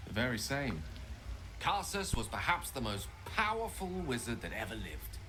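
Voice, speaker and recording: A man's voice: medium pitch, wildly swooping pitch, moderate pace, pushed and bright, faint music behind. An actor playing a learned, wistful male wizard in a fantasy game, speaking in an eloquent, theatrical storytelling manner. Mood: solemn storytelling. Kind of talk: fantasy video game acting.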